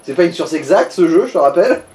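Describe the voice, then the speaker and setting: A man's voice: a little high, sing-song, fast talker, darkish tone. A man giving casual, slangy gaming advice in speech, explaining tactics with crude language. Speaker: let's play co-commentator advising the player.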